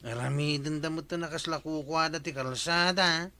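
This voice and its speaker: A man's voice: a little high, moderate pace, sharp tone. A man playing a nosy, reproachful village neighbour in a radio comedy drama, with an Ilocano accent and an insistent, questioning manner.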